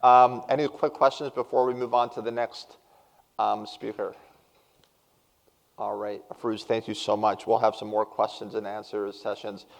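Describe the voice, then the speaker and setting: A man moderating a panel, introducing speakers briskly and informally with light jokes. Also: lowish voice, level tone, gravelly. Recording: distant mic in a reverberant hall.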